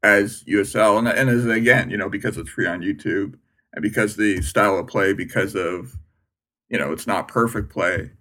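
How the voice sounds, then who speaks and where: A man's voice: on the low side, moderate pace, a bit bright. A man on a soccer panel talking conversationally, often tagging points with a question. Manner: conversational, tags with "right?". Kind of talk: casual chat.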